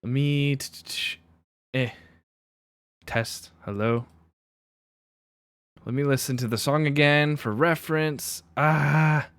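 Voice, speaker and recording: A man streaming live as a music producer, chatting casually and thinking aloud in halting bits with fillers while he works on a mix. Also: on the high side, steady tone, fairly bright tone, smooth, dry recording.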